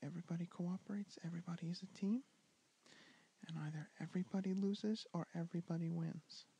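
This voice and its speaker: Soft-spoken man half whispering. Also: sits high, fairly even intonation, fairly quick, husky. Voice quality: soft, gentle voice. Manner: drifting in and out of whisper, hesitant with many ums. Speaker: ASMR board game teacher. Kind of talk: soft-spoken ASMR tutorial, often whispering. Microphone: handheld camera mic.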